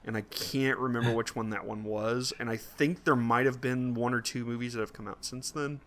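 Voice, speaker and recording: A man's voice: mid-range voice, fairly even intonation, normal speed, fairly bright tone, slight background noise. A man co-hosting a casual podcast, conversational and thinking aloud as he goes. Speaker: podcast co-host.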